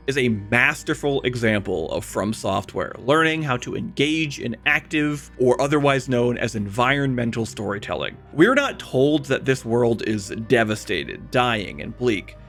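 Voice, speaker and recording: Animated man narrating over background music. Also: mid-range voice, moderate pace. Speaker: video essayist on video games. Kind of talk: scripted video essay narration with casual asides.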